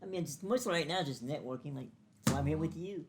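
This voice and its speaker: Fast-talking man comedian guest. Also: fairly high pitch, a crowd in the background. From Los Angeles, raised there. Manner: quick, offhand and blunt. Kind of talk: casual chat.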